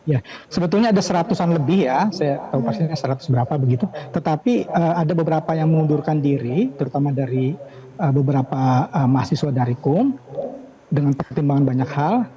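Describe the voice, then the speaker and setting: A middle-aged man answering questions over a remote call, halting and pausing often with filler sounds. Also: fairly high pitch, expressive. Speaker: evacuee interviewed by phone. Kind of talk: hesitant phone interview answers. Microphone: phone or video call. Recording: dull lo-fi remote line with crowd behind.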